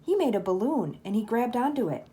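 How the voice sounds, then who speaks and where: An older woman, a grandmother, reading a children's storybook aloud in an expressive, measured storytelling manner. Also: fairly high pitch, breathy edge.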